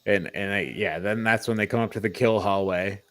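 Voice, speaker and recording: A man with a fairly low voice talks casually, like a co-host reviewing a film with friends. His delivery is halting and rambling, and the recording is dry with very little room sound. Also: a bit bright, no echo. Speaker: podcast co-host.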